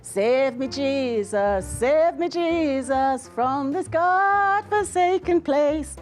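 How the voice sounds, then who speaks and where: A woman's voice: sits high, animated, extremely slow, faint music behind, pitched above the performer's own voice, heavily acted. A woman voicing a dramatic young woman who loves to gossip, emphatic and theatrical, in a reading from a novel. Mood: pleading, bellowing. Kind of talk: audiobook-style character reading.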